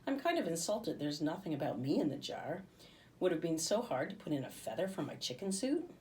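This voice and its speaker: Young girl in a storybook, read by a woman: bossy, inquisitive, insistent, highly expressive intonation. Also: fairly quick, slight background noise, low-quality recording. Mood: puzzled, bossy. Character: Livy, bossy, impatient, curious young girl.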